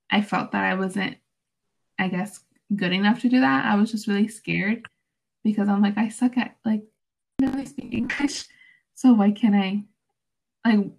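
A woman's voice: mid-range voice, vocal fry, slight background noise. Reflective young woman student. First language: Spanish. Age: young adult. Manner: reflective, many fillers and restarts. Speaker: student panelist. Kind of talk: casual panel discussion.

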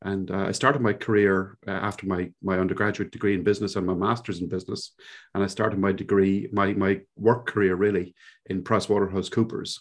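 A man's voice: low-pitched, flat delivery, fairly quick. A man around sixty with a Cork Irish accent. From Ireland. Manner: reflective, rambling with fillers. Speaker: podcast guest. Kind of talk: conversational interview.

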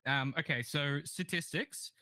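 Bright, dry-voiced rambling man. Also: a little high, unhurried, smooth, no echo. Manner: rambling, self-deprecating, full of fillers and restarts. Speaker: podcast co-host. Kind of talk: casual comedic chat.

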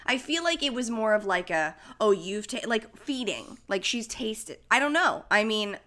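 Young woman with a stuffed-up cold, chatty and giggly, bright clear voice. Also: on the high side, sing-song, normal speed. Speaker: podcast co-host. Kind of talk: casual chat.

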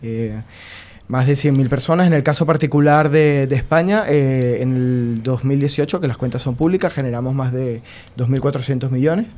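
A man's voice: medium pitch, moderate pace, darkish tone. A man with a Venezuelan accent, a guest on a radio interview, answering with many fillers and restarts. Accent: Venezuelan Spanish. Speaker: guest, company security chief.

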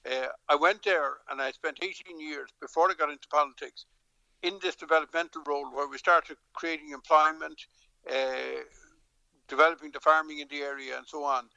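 Irish man, gravelly bright voice, lots of hesitations. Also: on the high side, fairly even intonation, moderate pace, no echo, highs cut off. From Dublin, Ireland. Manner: warm rambling storyteller, frequent hesitations and restarts. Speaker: politician. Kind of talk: remote interview.

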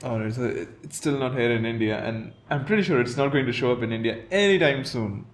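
A man's voice: medium pitch, fast. Upbeat male podcast host. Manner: upbeat, energetic intro. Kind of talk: podcast hosting.

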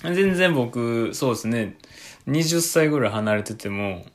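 二十代の男性で、ラジオの相方として丁寧語で相づちを打ちつつ、ためらいがちに受け答えする。. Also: male voice, mid-range voice, lively intonation, measured pace. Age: 20s. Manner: polite, hesitant, frequent short agreeing replies. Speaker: radio show co-host. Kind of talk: casual chat, responding to host.

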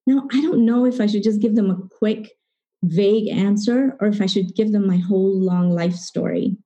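A woman's voice: mid-range voice, warm tone, lo-fi sound. A middle-aged woman from Zambia telling a personal story at a live event, reflective and posing rhetorical questions, acting out bits of dialogue.

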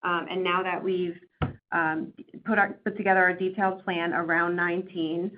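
A woman's voice: lowish voice, flat delivery, normal speed. A woman speaking as a company executive, answering earnings call questions with careful, hesitant corporate phrasing. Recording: tinny phone line, faint background noise.

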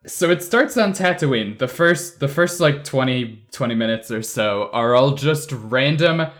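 A man's voice: medium pitch, lively intonation, measured pace, a bit bright. Casual man hosting a podcast chat. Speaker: podcast host leading a group review.

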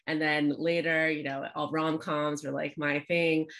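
Low-voiced woman from Los Angeles. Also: level tone, unhurried, fairly bright tone. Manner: chatty, upbeat, frequent fillers. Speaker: guest, relationship coach. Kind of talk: podcast interview.

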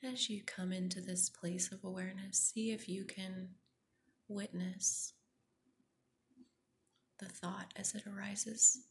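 Slow, whispery woman guiding meditation. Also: mid-range voice, steady tone, a little hiss or hum. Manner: soft, breathy near-whisper, soothing and gentle. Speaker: meditation guide, podcast host. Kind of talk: guided meditation.

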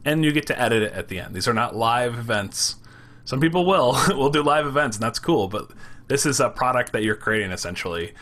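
A man with a Michigan accent who talks fast and runs his words together, chatting about making podcasts. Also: medium pitch, expressive, fairly bright tone. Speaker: podcast creator. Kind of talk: casual panel chat.

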